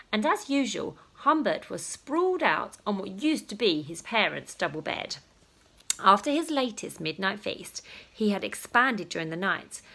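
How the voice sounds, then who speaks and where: A woman reading a children's story aloud, with dramatic pauses and drawn-out emphasis. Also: mid-range voice, a bit bright. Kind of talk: animated children's story narration.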